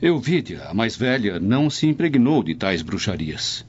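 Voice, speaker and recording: A man's voice: fairly low pitch, sing-song, moderate pace. An actor with a Brazilian accent playing a troubled, stern Roman father, speaking formally in a radio drama. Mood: grave, troubled. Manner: formal, solemn, literary speech. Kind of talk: dubbed radio drama acting. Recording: dull lo-fi, noisy ambience.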